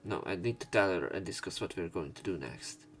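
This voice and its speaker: A man's voice: sits low, lively intonation, a little fast. A man doing a let's play, reading a visual novel's narration and dialogue aloud.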